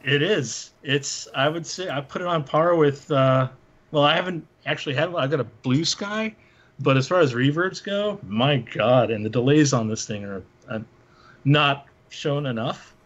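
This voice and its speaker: Man hosting, halting and casual. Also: fairly high pitch, a bit bright, highs cut off. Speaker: panel host, synth musician. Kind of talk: casual gear show-and-tell.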